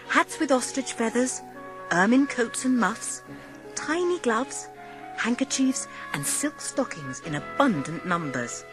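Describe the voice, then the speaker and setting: A woman narrating a scripted storybook for a dramatised audiobook. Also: mid-range voice. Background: music.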